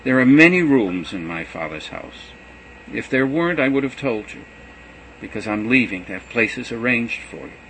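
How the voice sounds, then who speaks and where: A man voicing Jesus as a gentle teacher saying farewell to his students, earnest and measured. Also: mid-range voice, animated, slight background noise, low-quality recording. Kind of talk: reading a gospel aloud.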